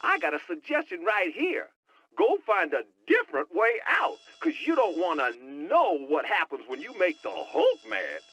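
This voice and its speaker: A man's voice: high voice, wildly swooping pitch, moderate pace, a bit bright, full character voice. A man voicing a cocky, wisecracking young American hacker sidekick in a video game, slangy and sassy over radio comms. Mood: playful, bragging.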